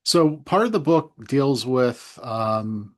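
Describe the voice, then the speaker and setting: A man's voice: mid-range voice, lively intonation, slow. A man interviewing a guest with casual, conversational questions in informal, hesitant phrasing.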